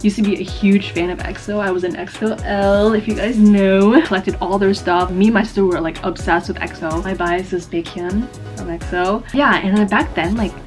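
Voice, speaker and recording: A young woman in her mid-twenties, raised on the US East Coast with Vietnamese as her first language, talks to her viewers in a rambling, self-mocking way full of fillers. Her voice sits at a middling pitch, and music plays clearly behind her. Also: fairly even intonation, darkish tone. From East Coast of the United States. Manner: chatty and rambling, many fillers, quick bursts, self-mocking jokes, frequent laughs. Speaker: YouTuber. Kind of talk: casual get-to-know-me chat to camera. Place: her own room at home.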